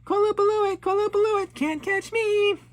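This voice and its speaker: A man voicing a bold, boastful young girl in a high, eager voice. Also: brisk. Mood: shouting. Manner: high, loud and excited, cheeky.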